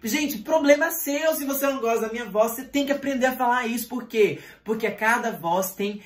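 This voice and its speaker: A male singing teacher giving a video lesson, speaking with animated energy and firing rhetorical questions straight at the viewer. His voice carries a noticeable room echo around it. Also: very high voice, fairly quick. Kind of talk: online voice lesson. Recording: roomy echo.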